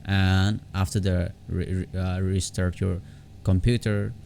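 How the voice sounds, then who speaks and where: A man giving an informal step-by-step software tutorial in a halting way, often repeating words and phrases, on a desktop condenser microphone. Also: level tone, gravelly. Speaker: tech tutorial vlogger. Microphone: separate desktop condenser microphone. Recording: low background noise on home recording.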